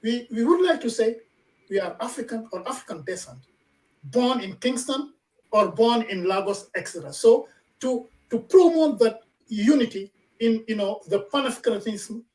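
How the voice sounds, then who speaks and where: A man hosting a talk radio program, speaking haltingly with many fillers and repeats. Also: very high-pitched, expressive, a little mellow. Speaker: radio show host. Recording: distant from the mic.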